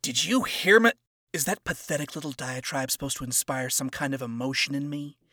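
A man voicing a cold, self-absorbed seductive woman who speaks in a hushed, condescending way. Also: mid-range voice, no echo, clean studio sound. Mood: cold scorn. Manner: hushed, breathy, condescending, slow. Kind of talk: reading fan fiction aloud.

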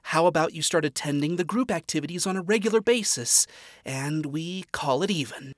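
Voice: mid-range voice, fairly quick, no room sound, studio quality, voice pushed up, close to an everyday voice. A reader voicing an earnest, upbeat young demon princess who speaks in an eager, sincere way. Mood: playful.

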